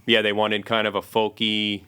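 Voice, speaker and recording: A man, a guest musician and producer, telling stories in a relaxed, casual interview chat with many fillers. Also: lowish voice, normal speed, smooth.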